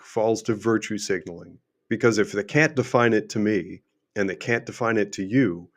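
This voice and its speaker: A middle-aged man hosts a livestream and talks emphatically, asking question after question, and his voice is going hoarse. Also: lowish voice. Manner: emphatic, repetitive, rhetorical questions. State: losing his voice. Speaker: host and finance adviser. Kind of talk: unscripted livestream monologue.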